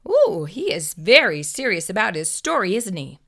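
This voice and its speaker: Bright, animated woman reading to children. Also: mid-range voice, moderate pace. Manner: expressive, addresses young viewers with questions. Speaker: children's storytime host and reader. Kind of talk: animated picture-book read-aloud for children.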